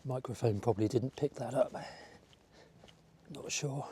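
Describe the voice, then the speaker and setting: A man with a slightly croaky voice from a cold, chatting casually and musing aloud as he walks along outdoors. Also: medium pitch, unhurried, warm tone, slight background noise. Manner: rambling, musing aloud to the viewer. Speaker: vlogger. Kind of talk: casual outdoor walking vlog chat. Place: outdoors, walking by a village road and seafront. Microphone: small wireless clip-on mic.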